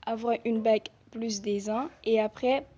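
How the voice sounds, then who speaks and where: A young woman with a slightly high voice explains things in a prepared, orderly way. There is faint noise behind her. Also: steady tone, unhurried. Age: young adult. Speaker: high school student.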